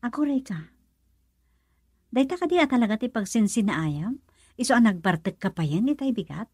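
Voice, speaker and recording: A woman's voice: a little high, normal speed, husky, dry recording. An actress playing an emotional young woman, a questioning close friend, with a Filipino accent in a radio drama. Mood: earnest, urging. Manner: expressive, probing with many questions.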